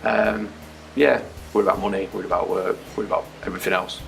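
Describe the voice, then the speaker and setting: A man giving a relaxed, understated personal baptism testimony with frequent ums. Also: low-pitched, fast, vocal fry, soft background music.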